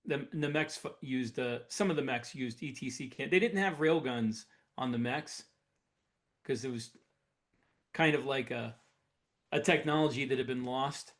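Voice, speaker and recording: A man's voice: mid-range voice, moderate pace. A man speaking as a podcast co-host in casual, enthusiastic, explanatory hobbyist chat. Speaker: podcast co-host, science fiction author. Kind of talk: casual hobbyist chat about sci-fi weapons.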